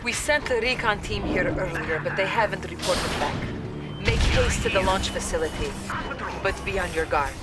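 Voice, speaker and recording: An actress playing a poised, dutiful Soviet intelligence officer, giving formal video game briefings. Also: female voice, mid-range voice, normal speed, natural voice. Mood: urgent. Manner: earnest, precise, formal. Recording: loud game music behind.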